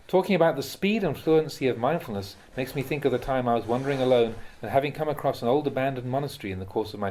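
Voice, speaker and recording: Man reading spiritual teachings, measured delivery. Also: medium pitch, darkish tone. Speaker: reader of translated Buddhist teachings. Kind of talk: audiobook-style reading of spiritual talks.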